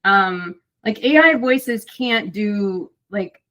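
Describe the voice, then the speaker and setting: A woman's voice: mid-range voice, lively intonation, drawn-out words, bright, forceful tone, poor audio. A middle-aged woman, an audiobook narrator and voice actor, chatting casually in an interview, talkative with many fillers and restarts.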